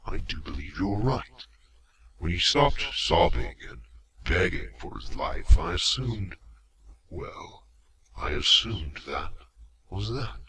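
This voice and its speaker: A man playing an eloquent, cruelly courteous robot villain commander in an audio drama, speaking theatrically with deliberate pauses and hushed drops. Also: sits low, very animated, heavily acted. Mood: mock sympathy. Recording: amateur home recording, faint noise.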